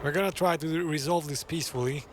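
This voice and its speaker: A man's voice: a little high, fast, music in the background. A man giving casual gameplay commentary as a gaming streamer.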